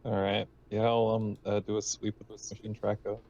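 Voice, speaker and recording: A man's voice: fairly low pitch, monotone, brisk, warm tone, lo-fi sound. A man playing a tabletop game, talking casually and tentatively as he asks questions.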